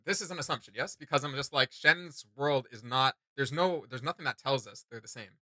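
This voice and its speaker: Male reaction host, mid pitch, very forceful bright tone, casual and questioning delivery. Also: brisk, no room sound. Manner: emphatic, rambling, questioning. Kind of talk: casual chat, discussing game character lore.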